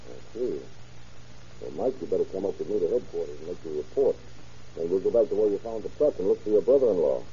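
A dutiful sheriff's deputy from an old radio crime show. He is plain-spoken and official, rattling off reports quickly in a slightly low, very mellow voice. The sound is a muffled vintage broadcast. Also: expressive. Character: brisk, dutiful male sheriff's deputy investigating a murder. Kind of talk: old-time radio crime drama acting. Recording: dull, noisy vintage radio recording.

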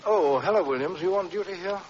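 An actor playing a persistent, dryly witty police inspector in an old radio drama, polite and probing. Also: male voice, high voice, a little fast, darkish tone, lightly acted. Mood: curious, wry. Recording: muffled vintage radio, faint hiss.

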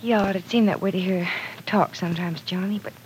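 An actress playing a caring young woman in a 1940s radio soap, gentle and sympathetic. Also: on the low side, fairly even intonation, a little fast, slight background noise, lightly acted, poor audio. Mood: concerned.

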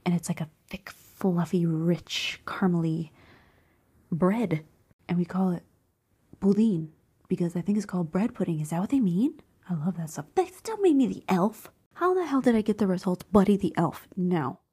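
Hushed, hoarse young woman vlogging. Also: fairly low pitch, moderate pace. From Cuban family background. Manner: hushed half-whisper to stay quiet; excitable, bursts of loud disbelief. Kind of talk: casual chat, reading quiz questions aloud.

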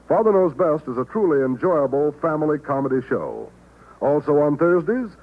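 An American man announcing a 1950s network radio show in a scripted, dramatic, emphatic style. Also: on the high side. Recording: tinny, narrow-band old radio broadcast.

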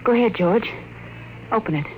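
A woman's voice: medium pitch, lively intonation, moderate pace, phone-quality sound, natural voice. An actress playing an anxious, insistent suburban wife and mother in an old-time radio drama. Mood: tense. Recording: noisy vintage radio recording.

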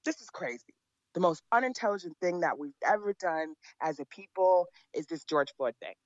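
A woman's voice: on the low side, animated, moderate pace, dry recording, highs cut off. A woman speaking as an interview guest, blunt and emphatic, repeating her points. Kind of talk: opinionated interview talk.

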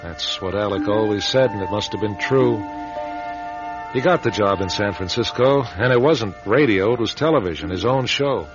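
Male actor as reflective American narrator, wry and wistful, lowish voice, dull radio sound over music. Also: lightly acted. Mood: wry, reminiscing. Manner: reflective, halting, confiding. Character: wistful, wry man narrating his charismatic friend's rise. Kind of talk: radio drama first-person narration.

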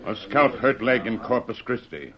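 An actor playing a loyal, steadfast scout sidekick in an old radio western, speaking terse broken English with dropped words. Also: male voice, mid-range voice, animated, moderate pace, natural voice. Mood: matter-of-fact. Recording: muffled vintage radio recording, faint noise.

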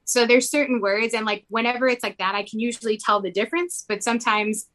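A woman's voice: on the high side, steady tone, fast, fairly bright tone. A young woman who grew up in Mexico, talking casually in an interview, rambling with lots of "like" fillers. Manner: rambling, heavy use of "like" fillers.

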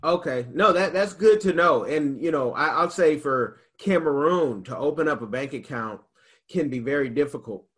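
A man's voice: a little high, lively intonation, normal speed, a bit bright, breathy edge. A man with an American accent hosting a casual interview, asking long, rambling questions in a conversational way. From United States. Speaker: interview host.

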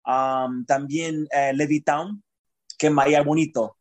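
Man, guest poet, reflective, searching for words, fairly high-pitched. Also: steady tone, slow. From Chicago, United States. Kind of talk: conversational interview.

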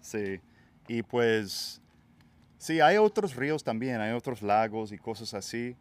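A man from Texas hosting a casual podcast chat outdoors by a pool, English his first language, talking in a relaxed, hesitant way with many fillers and restarts. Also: mid-range voice, slow pace. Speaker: podcast host.

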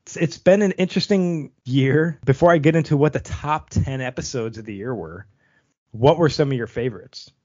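A man's voice: medium pitch, moderate pace, a little mellow, dry recording. A man hosting a podcast, reading out a countdown and thanking listeners in a casual chat. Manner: countdown announcing, earnest and thankful. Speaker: podcast host. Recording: dry, dull lo-fi sound.

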